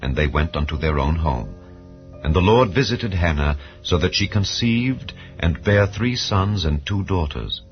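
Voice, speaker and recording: Deep-voiced solemn man reading scripture. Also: expressive. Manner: solemn, formal, measured storytelling. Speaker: narrator. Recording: muffled lo-fi audio, music bed. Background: background music.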